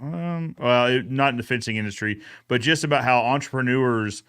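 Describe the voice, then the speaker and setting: A man's voice: mid-range voice, moderate pace, a bit bright, clear, resonant tone. A man hosting a casual live recap show, talking in an agreeable, conversational way and often checking in with "right?". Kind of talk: casual live podcast chat.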